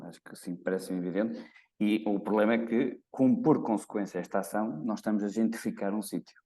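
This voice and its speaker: A man's voice: deep voice, warm tone. A man hosting a podcast interview, who puts his questions reflectively and tentatively, with many hedges. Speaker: podcast host.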